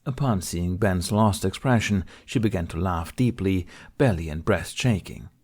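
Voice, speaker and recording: A man's voice: low-pitched, moderate pace, a little mellow, slightly rough. A man reading scripted fiction as an audiobook narrator.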